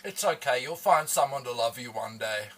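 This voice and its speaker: A man, his voice bright and forceful, sits a little back from the microphone. He chats loosely and teasingly with his stream audience while eating. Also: mid-range voice, expressive, moderate pace, far from the mic. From born in Hong Kong, raised in Australia. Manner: teasing, joking with chat. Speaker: livestreamer. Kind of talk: casual live-stream chat while eating.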